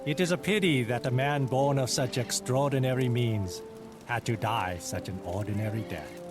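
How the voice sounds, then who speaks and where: A man's voice: mid-range voice, moderate pace, over music, lightly acted. A man playing a stern, honourable Chinese fleet captain in a video game cutscene, speaking in a formal, grave, commanding way. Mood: reflective. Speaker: game character, military leader.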